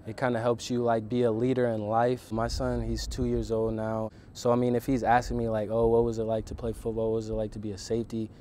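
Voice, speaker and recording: Soft-spoken young college football player. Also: male voice, on the low side, monotone, fairly quick, few pauses, slight background noise. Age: young adult. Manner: soft, reflective, casual filler words. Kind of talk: documentary interview. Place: outdoors by a football field.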